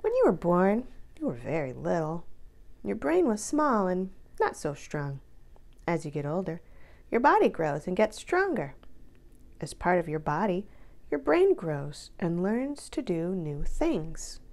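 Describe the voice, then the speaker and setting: A woman narrates a picture book for children with very lively intonation. Her voice sits a little low and has a gravelly creak. She reads deliberately, stretching important words for emphasis. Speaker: counselor reading a children's book aloud. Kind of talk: expressive read-aloud narration for children.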